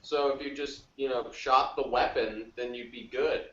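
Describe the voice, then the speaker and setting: A man's voice: medium pitch, steady tone, moderate pace, a bit bright. A man giving blunt, dismissive opinions in a casual TV review chat, recorded roomy and distant. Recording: roomy, distant, dull, noisy audio.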